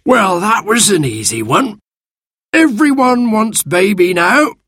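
A man voicing a magical sand fairy creature in a storybook, slow and stern with warnings. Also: sits high, sing-song, sharp tone, slightly rough, no echo, clean studio sound, voice pushed up, exaggerated voice.

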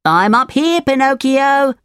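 A tiny, wise talking cricket from a children's storybook, played by a woman. He speaks high and solemnly, with animated pitch and careful, unhurried phrasing. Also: clear, resonant tone, dry recording, clean studio sound. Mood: calling out. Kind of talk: children's storybook character reading.

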